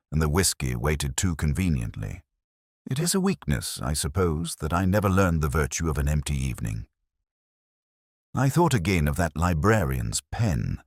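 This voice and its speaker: A man as a very deep, brooding older detective. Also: no room sound, studio quality, exaggerated voice. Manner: measured, wry, brooding. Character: weary, melancholy older male English police inspector recalling a case. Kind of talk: slow literary first-person mystery narration.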